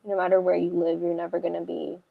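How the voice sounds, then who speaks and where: A woman's voice: on the low side, monotone, quick pace, vocal fry, dry recording, narrow, tinny audio. A woman hosting a casual book discussion on a video call, talking in a hesitant, rambling way with lots of "like". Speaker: book club livestream host.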